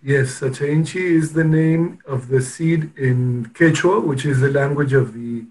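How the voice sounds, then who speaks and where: A man from Peru speaking as an interview guest, telling his story in a hesitant way with many pauses and restarts. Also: mid-range voice, darkish tone, rough recording. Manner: hesitant, with frequent restarts and pauses while recounting. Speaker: interview guest, company founder. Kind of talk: casual interview conversation.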